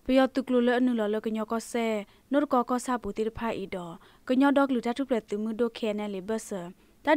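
A woman reads scripted news in a fairly high voice. The sound is dry and close, as a radio news presenter would have it. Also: darkish tone, no room sound.

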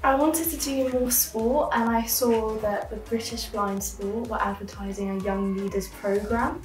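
A woman's voice: on the high side, steady tone, a little slow, soft background music. A young woman speaking earnestly in an indoor room, recorded from a distance. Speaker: interviewee. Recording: echoey, distant camera sound.

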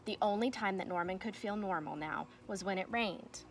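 A young woman reading a children's storybook aloud like a teacher, with expressive, emphatic delivery. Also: medium pitch, normal speed. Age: young adult. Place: outdoors in a fenced backyard. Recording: noticeable outdoor ambient noise.

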